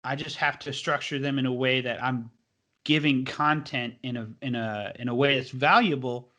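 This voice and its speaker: A young man hosts his podcast in a loose, enthusiastic ramble full of fillers. His voice is bright and forward. His pitch sits in the middle range. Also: fairly even intonation, normal speed. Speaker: podcast host. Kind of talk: casual podcast chat.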